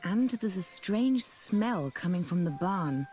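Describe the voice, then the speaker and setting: An actress playing an observant, matter-of-fact companion in a video game. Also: female voice, fairly low pitch, animated, slow, dark tone. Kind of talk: video game character dialogue. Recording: music under the voice.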